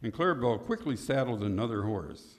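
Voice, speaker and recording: A man's voice: medium pitch, very animated, brisk. A man narrating a scripted Western in old-time radio drama style.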